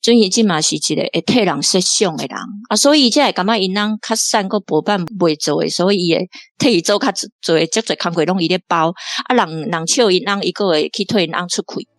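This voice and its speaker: A woman from Taiwan, a guest reader, talking through a radio drama in a lively way, often laughing and restarting her phrases. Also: fairly low pitch, a little fast, few pauses, no room sound, studio quality. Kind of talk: casual on-air discussion.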